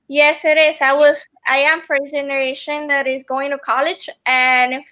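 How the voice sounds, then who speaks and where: A woman's voice: high-pitched, level tone, pushed and bright. Earnest young woman, Spanish native. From family from El Salvador. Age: young adult. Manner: earnest, halting, with many ums. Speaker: student guest. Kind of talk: earnest video call interview. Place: room at home. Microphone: video call.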